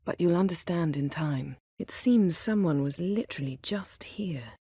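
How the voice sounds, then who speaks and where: Commanding, guarded fantasy-game sorceress: lower register, crisp sardonic bite, bone-dry studio sound. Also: female voice, animated, a little slow, dark tone, dry recording, exaggerated voice. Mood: soft, intimate. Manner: crisp, imperious, dryly sardonic. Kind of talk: fantasy video game voice acting.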